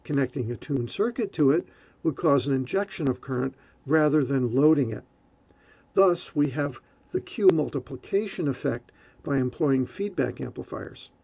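A man's voice: medium pitch, animated, moderate pace, vocal fry, warm tone, breathy edge, highs cut off. A man giving a technical explanation in the role of an explainer.

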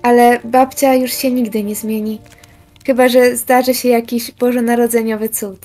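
A gentle, earnest mother played by a young woman with a fairly high voice, talking patiently to her children. It is a school Christmas radio play with faint music underneath. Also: level tone, moderate pace, natural voice. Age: young adult. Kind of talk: amateur school audio drama.

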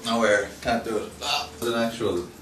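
A man's voice: medium pitch, normal speed. A man chatting casually and jokingly. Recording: noisy, roomy, distant camera mic.

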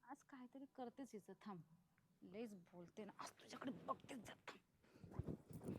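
A woman's voice: high-pitched, expressive, brisk, heavy background noise. A woman playing a spiteful, domineering middle-aged mother-in-law in a family drama, with colloquial Maharashtrian Marathi and a scolding, repetitive way of talking. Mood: scheming muttering. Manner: scolding, repeats phrases insistently. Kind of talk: amateur family drama acting.

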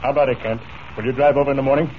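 An actor playing a commanding, forthright cowboy ranch leader in a dramatic 1940s radio serial. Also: male voice, mid-range voice, fairly even intonation, rapid-fire, close to an everyday voice. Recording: tinny, noisy 1940s radio broadcast.

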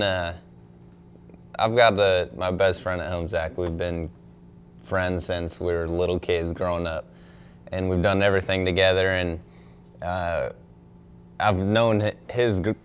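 A young man, a pro angler, answering questions at a press conference in a relaxed, thoughtful way, full of "you know" and "um". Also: deep voice, a little slow, a little hiss or hum. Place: indoor press room in front of a backdrop. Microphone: desk microphone on a stand.

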